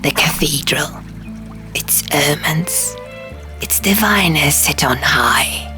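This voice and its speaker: A woman plays a melancholy stone gargoyle from a fantasy audiobook, speaking very slowly and solemnly. His delivery is theatrical and declamatory, with music playing behind him. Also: low-pitched, lively intonation. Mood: solemn, plain. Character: stone gargoyle, male, plain-spoken, sad, theatrically melodramatic.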